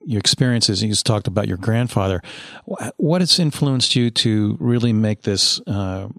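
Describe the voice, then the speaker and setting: Low-voiced male interview host, dry sound. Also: expressive, normal speed, fairly bright tone, no room sound. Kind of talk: interview questions.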